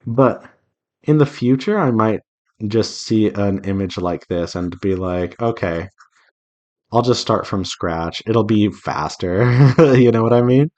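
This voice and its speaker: A man's voice: fairly low pitch, lively intonation, a little slow, a little mellow, dull audio. A man chatting casually to viewers as he works, thinking aloud in a rambling, self-reassuring way. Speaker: visual novel developer hosting a draw-along devlog. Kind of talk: casual solo chat while drawing.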